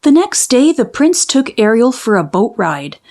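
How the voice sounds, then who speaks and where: High, lilting woman reading a storybook. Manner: expressive, measured storytelling delivery. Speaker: children's book read-aloud narrator. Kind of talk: scripted storybook narration for children.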